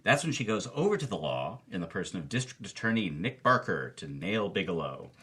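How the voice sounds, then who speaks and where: A man's voice: sits low, very animated, a little fast, fairly bright tone, slightly rough. A man co-hosting a podcast, giving film commentary in an emphatic, enthusiastic way.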